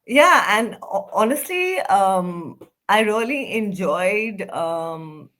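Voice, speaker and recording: A woman's voice: mid-range voice, expressive, takes it slowly, a bit bright. A woman from India co-hosting a casual review chat, speaking hesitantly with fillers and restarts. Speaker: co-host. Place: home in London.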